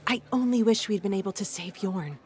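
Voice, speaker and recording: A woman's voice: medium pitch, a little fast, a little mellow, close to an everyday voice. A woman playing a solemn, sincere comrade in a fantasy video game. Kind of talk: video game character acting.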